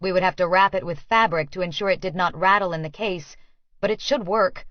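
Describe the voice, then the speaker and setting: Female audiobook narrator, lower register, first-person fiction, close dry and slightly muffled recording. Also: fairly quick, no room sound.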